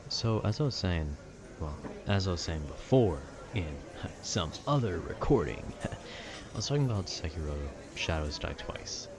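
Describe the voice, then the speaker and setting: Deep-voiced man rambling casually over noisy ambience. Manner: nerdy, meandering, conversational. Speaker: content creator. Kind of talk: casual rambling monologue about video games.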